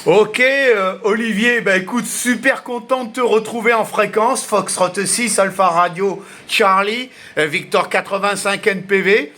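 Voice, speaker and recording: A man's voice: high-pitched, measured pace, few pauses, bright, forceful tone, slight background noise. A man chatting casually as an amateur radio operator, talkative and often repeating himself. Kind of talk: casual ham radio contact, unscripted.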